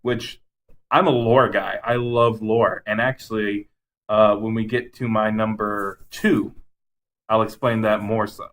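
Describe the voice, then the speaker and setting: A man's voice: fairly low pitch, flat delivery, a little slow, fairly bright tone. A man co-hosting a podcast, casually and enthusiastically rambling through his favorite game movies with some swearing. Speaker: podcast co-host.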